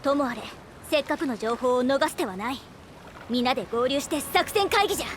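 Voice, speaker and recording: A small, bossy anime-game creature, speaking high and imperiously in quaint old-timer phrasing. Clear music plays underneath. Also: female voice, expressive, brisk. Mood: puzzled, brisk. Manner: imperious, old-fashioned elderly turns of phrase. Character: bossy little female creature companion with old-timer speech. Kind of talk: Japanese anime game voice acting.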